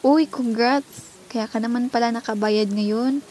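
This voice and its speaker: A neighbourhood storekeeper, acted by a woman in a homemade radio drama, kindly yet firm. Her voice sits on the high side. The recording has light noise. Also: fairly even intonation, unhurried, warm tone, natural voice. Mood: friendly. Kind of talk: amateur radio drama acting. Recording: noisy homemade recording with clicks.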